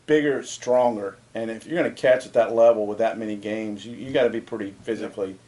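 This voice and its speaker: A man's voice: lowish voice, steady tone, quick pace, warm tone. A man co-hosting a casual sports talk show, speaking plainly and getting worked up over his opinions. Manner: plainspoken, gets fired up with strong opinions.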